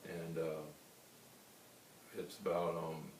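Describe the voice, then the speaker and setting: Low-voiced baritone man, roomy distant sound. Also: completely flat delivery, darkish tone. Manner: unhurried, rambling storytelling. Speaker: singer-songwriter guest. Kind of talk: casual interview answers. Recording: roomy, distant mic with faint hiss.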